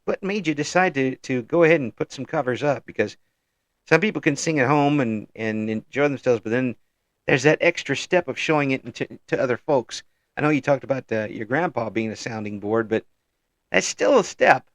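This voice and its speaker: Older male interview host, gravelly and easygoing, halting delivery, dry close mic. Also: medium pitch, lively intonation, no echo. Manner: easygoing, halting with frequent ums and restarts. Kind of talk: casual interview chat.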